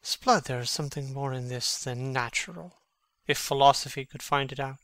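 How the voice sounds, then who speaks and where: Man playing a bright-voiced, mocking young prince. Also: medium pitch, normal speed, no echo, natural voice. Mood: wry, bitter. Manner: theatrical, teasing, archly sardonic. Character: young Danish prince, witty, mocking, feigning madness. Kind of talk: Shakespearean dramatic reading.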